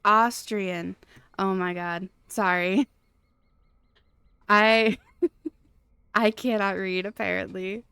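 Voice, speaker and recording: A woman live-streaming a game and chatting casually with viewers in a rambling, halting, giggly way. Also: medium pitch, steady tone, creaky, pushed and bright, clear, resonant tone, dry recording.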